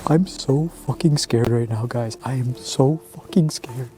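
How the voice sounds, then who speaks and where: A man's voice: mid-range voice, a little slow, mellow, soft background music. A man vlogging alone in the woods at night, frightened, whispering and swearing. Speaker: camper filming himself. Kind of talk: night-time vlog.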